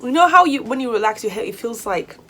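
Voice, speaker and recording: A woman in her twenties from Nigeria with a British accent, talking casually to camera as a hair vlogger, chatty and lively and sometimes flustered. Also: on the high side, fast, slight background noise. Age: 20s. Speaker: beauty vlogger.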